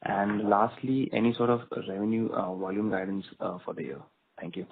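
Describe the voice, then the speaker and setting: A man's voice: lowish voice, steady tone, moderate pace. A man, an analyst putting questions on a conference call. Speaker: investment analyst asking questions. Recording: phone-like line, faint noise.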